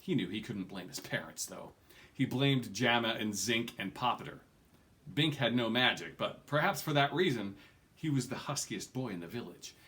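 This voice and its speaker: A man's voice: mid-range voice, expressive, moderate pace, fairly bright tone. A middle-aged man reading a fantasy novel aloud at home, expressively, stressing key words. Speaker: amateur book reader. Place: small furnished room at home.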